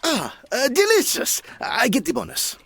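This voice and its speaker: An actor playing a flirtatious Cuban boat owner in a comedy audio drama, with a Spanish accent and florid, courtly broken English. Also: male voice, very high voice, wildly swooping pitch, moderate pace, gravelly, a bit bright, a little hiss or hum, heavily acted. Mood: eager. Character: flirtatious Cuban man, flowery boat owner in 1950s Havana.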